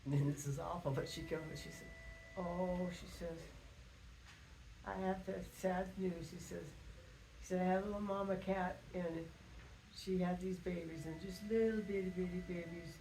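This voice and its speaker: An older woman tells family stories like a grandmother at home. Her phrasing is halting and folksy, wandering through small details. The room is echoey, with faint noise underneath. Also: fairly low pitch, warm tone. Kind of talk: casual storytelling reminiscence. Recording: roomy echo with faint background noise.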